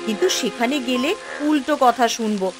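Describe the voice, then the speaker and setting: A woman's voice: a little high, fairly quick, music in the background, natural voice. A woman voicing a worried, dutiful poor village wife and mother in a cartoon, speaking in a plaintive, homely way. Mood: pleading. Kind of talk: Bengali fairy-tale cartoon voice acting.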